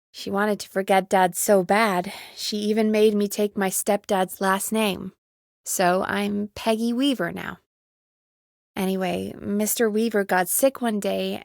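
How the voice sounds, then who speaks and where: A woman playing an earnest, anxious young small-town radio producer in a video game, speaking in a conversational, expressive way. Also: mid-range voice, creaky, dry recording, clean studio sound. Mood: subdued, wistful. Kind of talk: naturalistic video game voice acting.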